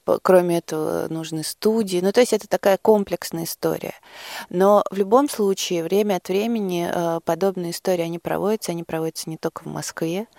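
Lowish, creaky-voiced woman explaining in interview. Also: fairly even intonation, brisk, mellow, dry recording, studio quality. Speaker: studio guest, project organiser. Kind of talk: unscripted interview talk.

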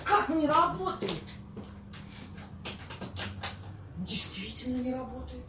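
A woman acting a bossy, streetwise young woman in an amateur audio play, husky, slangy and blunt. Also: sits high, big pitch swings, background noise. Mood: tense, resolute. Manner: slangy, blunt, drawn-out exclamations.

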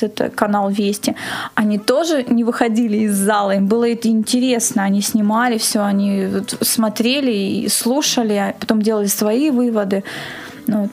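Woman, interview guest, higher-pitched voice, talkative and explanatory, conversational delivery. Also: darkish tone.

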